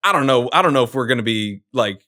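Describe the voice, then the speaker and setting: Guy interviewing actors, chatty, laughs a lot, mid pitch. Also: animated, fast talker, pushed and bright. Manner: chatty, laughing, rambling questions. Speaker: podcast interviewer. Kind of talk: casual convention interview.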